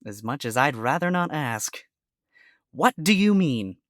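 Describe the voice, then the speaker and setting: A man's voice: on the high side, very animated, a little slow, a bit bright, dry recording. A man voicing a sarcastic, wisecracking male fox defense attorney with a dry, exasperated delivery.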